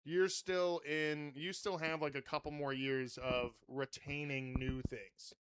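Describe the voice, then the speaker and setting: A man hosting a podcast in casual chat, with a very loud voice and a rambling, swear-laden way of talking. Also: on the high side, little pitch movement, unhurried. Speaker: podcast host.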